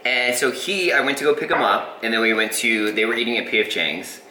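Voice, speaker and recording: A man's voice: mid-range voice, brisk, sharp tone. A young man co-hosting a podcast in casual chat, rambling through a story with hesitant fillers. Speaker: podcast co-host. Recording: echoey room, faint hiss.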